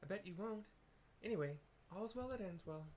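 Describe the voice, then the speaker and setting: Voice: mid-range voice, lively intonation, fairly quick, darkish tone, a little hiss or hum, voice pushed up, close to an everyday voice. A man voicing an upbeat, chatty young woman adventurer who is easily miffed in a fantasy game. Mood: miffed, playful. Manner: chatty, exclaiming.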